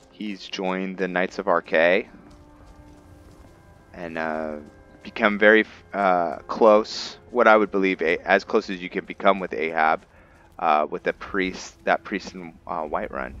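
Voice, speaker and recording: A man's voice: sits low, a bit bright. A man hosting a roleplay game session, casually commentating in a musing, hesitant way. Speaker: gamer, roleplay host. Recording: dull narrow-band mic over game music. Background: faint game music.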